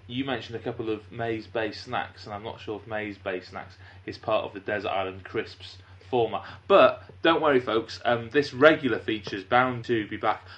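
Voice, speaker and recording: A man's voice: mid-range voice, a bit bright. Brief: man from North Wales, podcast host, dry and mock-formal. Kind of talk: casual comedic chat.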